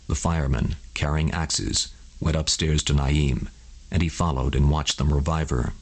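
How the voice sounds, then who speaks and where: A man with a husky voice narrating a scripted bedtime story for adults. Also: rumbling low, fairly even intonation, moderate pace, darkish tone.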